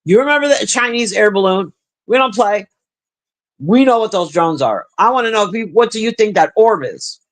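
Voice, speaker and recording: A middle-aged woman with a deep, husky smoker's voice, chatting profanely and bluntly with her livestream viewers. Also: moderate pace. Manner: profane, blunt, animated banter. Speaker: livestream host reading chat. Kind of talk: casual livestream chat.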